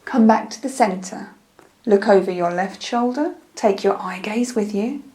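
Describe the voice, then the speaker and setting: A woman leading a guided meditation in a gentle, soothing, unhurried manner, with phrases that trail off softly. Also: medium pitch. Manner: soothing, gentle, unhurried instruction with soft breathy phrase endings.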